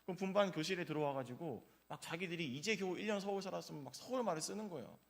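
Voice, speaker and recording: Male pastor, fairly high voice, chatty storytelling sermon, fills pauses with "uh". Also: creaky. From South Korea, grew up in Gyeongsang region. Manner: conversational storytelling with frequent "uh" fillers. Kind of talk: early-morning sermon with personal anecdotes. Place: church.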